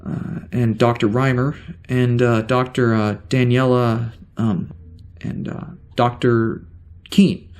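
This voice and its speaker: An actor playing a bewildered, weary spaceship security officer with amnesia in an audio drama, speaking in a halting, stammering way. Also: male voice, medium pitch, little pitch movement, darkish tone. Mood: hesitant. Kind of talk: audio drama log monologue.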